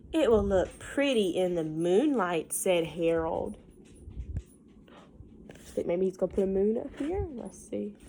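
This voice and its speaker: A woman reads a storybook to little kids at a slow pace. Her pitch moves in lively swings, and she often steps out of the text to explain things simply or ask the listeners a question. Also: vocal fry, slight background noise. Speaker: teacher reading a picture book aloud to children. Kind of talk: children's read-aloud with casual asides and questions.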